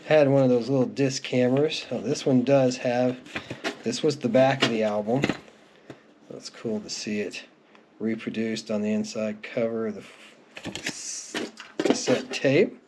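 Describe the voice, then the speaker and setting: Clear background noise sits under the voice of a middle-aged man. He talks at an average male pitch in an unscripted, conversational way. It is a casual show-and-tell, full of easy reminiscing. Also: steady tone, a little slow. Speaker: music collector presenting their collection. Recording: clearly noisy home recording with clicks.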